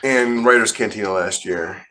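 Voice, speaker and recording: A man's voice: lowish voice, normal speed, pushed and bright, low-quality recording. A man co-hosting a podcast, chatting in a casual, conversational way. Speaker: podcast co-host and author.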